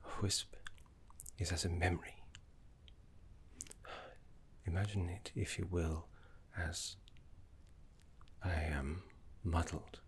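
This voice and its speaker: Casting: male actor as courteous scholarly necromancer mentor, very low-pitched, breathy half-whisper, reverent and soothing, game-inspired ASMR roleplay. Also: full character voice. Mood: musing, fond. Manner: unhurried, refined, reassuring, deliberate pauses.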